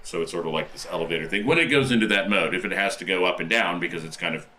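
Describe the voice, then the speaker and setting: A man's voice: sits low, rapid-fire, bright, forceful tone, slight background noise. A man playing a tabletop game, talking casually through what his character does.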